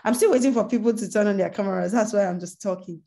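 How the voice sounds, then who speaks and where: A woman's voice: mid-range voice, fast talker, gravelly, warm tone. A woman hosting a webinar on a video call, thanking people and coaxing the audience in a grateful, chatty way. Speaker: webinar host. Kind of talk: closing remarks to a webinar.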